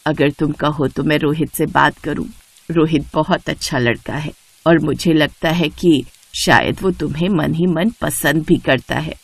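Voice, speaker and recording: Kindly older mother-in-law female storyteller acting, low, dry sound, caring and concerned, family storybook dialogue. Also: unhurried, gravelly, breathy edge, no room sound, heavily acted. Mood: concerned, soothing. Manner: gentle, motherly, reassuring.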